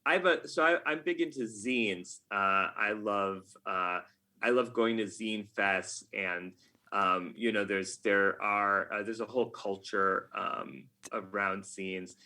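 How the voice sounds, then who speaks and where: Bright, lower-pitched American man. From United States. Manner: halting, thinking aloud. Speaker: podcast guest, game writer. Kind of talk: casual interview conversation.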